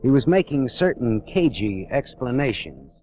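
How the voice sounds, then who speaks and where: An actor playing a hard-boiled private detective who narrates in terse, dry first-person lines in a 1940s radio drama. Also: male voice, medium pitch, sing-song, moderate pace, a little hiss or hum, lightly acted. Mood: tense. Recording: dull vintage radio recording with music.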